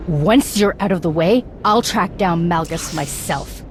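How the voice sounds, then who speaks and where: A woman's voice: on the low side, a little slow, soft background music, natural voice. Woman voicing a defiant cornered Jedi. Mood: determined. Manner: bitter and accusing. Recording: game soundtrack under voice.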